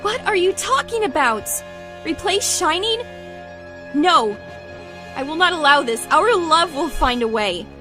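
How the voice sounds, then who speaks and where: A woman's voice: very high voice, big pitch swings, a little slow, pauses often, bright, forceful tone, soft background music, full character voice. A woman voicing a regal, devoted pony princess, firm yet pleading, in an audio drama.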